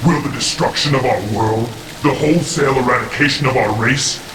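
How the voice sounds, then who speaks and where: An actor playing a scornful alien council leader in a game cutscene, with pompous, theatrical oratory. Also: male voice, mid-range voice, fairly quick, background noise, natural voice. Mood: contemptuous outrage. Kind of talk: dramatic game cutscene acting. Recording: roomy, distant, music and rain behind.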